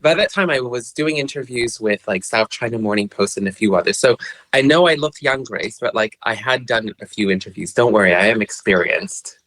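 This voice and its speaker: A polite young man from Brunei with a Canadian-tinged English accent, chatting as a podcast guest over a remote call, soft-spoken and hesitant with frequent restarts. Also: on the low side, a little fast. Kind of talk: casual chat.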